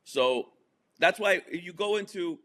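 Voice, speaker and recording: A man's voice: high-pitched, animated, takes it slowly, bright, forceful tone. A man from New Jersey whose first language is Spanish, telling his life story as a guest in a casual interview, chatty and full of fillers, laughing at his own jokes. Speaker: podcast guest.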